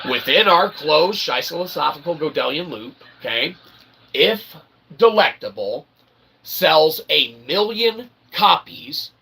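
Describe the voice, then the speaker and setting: A man's voice: a little high, lively intonation, slow pace, creaky, sharp tone, husky. A man giving a rambling explanatory monologue to his audience in wordy, pseudo-academic phrasing, often checking in with short tag questions. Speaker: self-published author and channel host.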